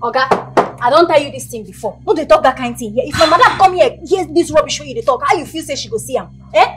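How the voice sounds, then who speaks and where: A quick, bright-voiced young Nigerian shop attendant, played by a woman, sharp-tongued and brash, her very high voice leaping up and down as she fires back. She scolds with rapid, cutting retorts in comic skit style. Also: animated, soft background music. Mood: scolding. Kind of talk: comedy skit acting.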